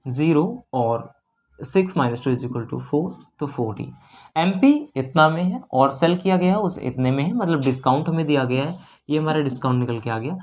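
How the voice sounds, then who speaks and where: A man's voice: a little high, a little mellow. A man teaching an exam-prep lesson step by step, repeating phrases and mixing in English terms. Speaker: maths teacher.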